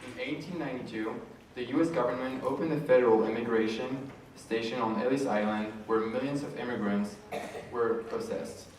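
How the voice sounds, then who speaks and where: A man's voice: mid-range voice, normal speed, a little mellow. A man reading a scripted informational presentation aloud as a student presenter. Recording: echoey room, distant mic, noisy.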